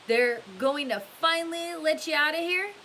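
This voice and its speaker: A woman's voice: high voice, normal speed, pushed and bright, pitched above the performer's own voice. A woman voicing a cheeky, mocking teenage boy from a storybook in a brash, teasing manner, over hiss. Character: cheeky, mocking teenage boys at a work camp. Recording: clear background hiss.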